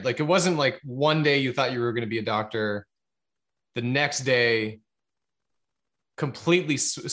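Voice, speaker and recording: A man hosting a podcast interview, building his questions slowly with many false starts. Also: medium pitch, a bit bright. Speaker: podcast host.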